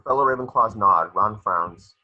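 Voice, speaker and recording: A man reading screenplay stage directions as a narrator, with a raspy voice. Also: lowish voice, moderate pace, dull audio. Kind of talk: scripted narration.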